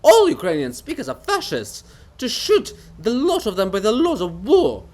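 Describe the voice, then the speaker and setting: A man acts out a stone monument come alive, a self-declared genius in a stage farce. He is haughty and paranoid, ranting in a highish voice that swings widely in pitch. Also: huge pitch swings, moderate pace, darkish tone, exaggerated voice. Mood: furious ranting. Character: living Bulgakov monument, raging, paranoid, grandiose man of genius. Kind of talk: theatrical dramatic reading of a farce.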